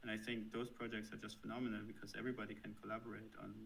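Low flat German-accented man. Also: creaky, slight background noise, low-quality recording. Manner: thoughtful, halting with fillers and restarts. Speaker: software developer guest. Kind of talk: interview answers.

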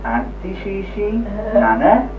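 An old muffled home tape catches a woman from far off in a roomy space, with loud music behind her. She talks casually and slowly, with wide swoops in pitch. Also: very animated, crowd noise.

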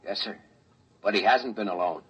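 An American man playing a deferential, careful space scout officer in a 1950s radio drama, reporting in a respectful, measured way. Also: on the low side, natural voice. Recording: dull, noisy vintage radio recording.

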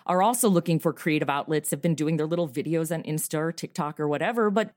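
A middle-aged woman talks casually as an interview guest. Her voice sits on the low side. The sound is dry and close, and she rambles in an informal, self-deprecating way. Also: fast talker, a little mellow, no echo. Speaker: podcast creator being interviewed.

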